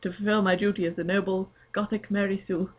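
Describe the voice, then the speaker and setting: A woman's voice: mid-range voice, flat delivery, quick pace, pitched above the performer's own voice, natural voice. Woman putting on a posh, melodramatic gothic vampire girl. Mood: dying, melodramatic. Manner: posh, theatrical.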